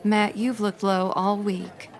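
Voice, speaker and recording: A woman's voice: medium pitch, little pitch movement, slow. An actress playing a worldly, feisty Old West dance hall girl, frank and plainspoken, in a radio western parody. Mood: consoling. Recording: music and crowd chatter behind. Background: saloon music and crowd.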